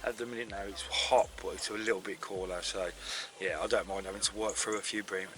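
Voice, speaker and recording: Deep-voiced tired man by a lake. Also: brisk. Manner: relaxed, chatty, with many fillers. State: congested and tired. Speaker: fishing vlogger. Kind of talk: casual outdoor chat to camera. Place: outdoors at a lakeside.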